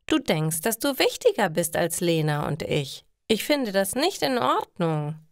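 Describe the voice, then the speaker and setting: A woman's voice: mid-range voice, very animated, unhurried, no room sound, clean studio sound. A woman voicing a firm, outspoken young girl in a story, earnest and reproachful.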